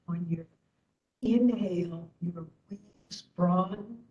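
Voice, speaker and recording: A woman leading a guided meditation as instructor, speaking gently in short phrases with long pauses. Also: fairly low pitch, highs cut off.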